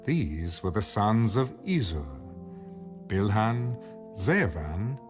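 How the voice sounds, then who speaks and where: A man narrating an audio Bible, reading scripture in a measured, solemn way with careful enunciation. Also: lowish voice, very animated, soft background music.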